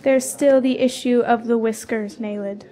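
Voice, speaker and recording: A woman's voice: fairly high pitch, steady tone, normal speed, warm tone, lightly acted. A woman playing a fierce, proud young warrior in a fantasy radio drama, bold and blunt in her delivery. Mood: defiant. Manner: bold, boastful, declarative.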